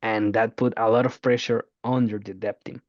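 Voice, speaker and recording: Man, halting speech, repeated words, dull muffled sound, dry with no room. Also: lowish voice, flat delivery, no room sound. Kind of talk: conversational interview answers.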